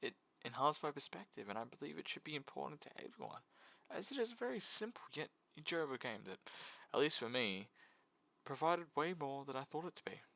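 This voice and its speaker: A man's voice: fairly high pitch, big pitch swings, brisk, vocal fry, bright, forceful tone, no room sound. A man giving a casual, jokey video essay about games, with mock sincerity.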